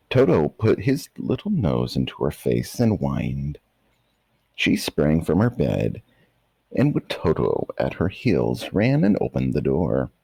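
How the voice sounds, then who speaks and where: A man with a gravelly, husky voice reading a children's bedtime story aloud in an expressive, dramatic manner. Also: very deep voice, no echo.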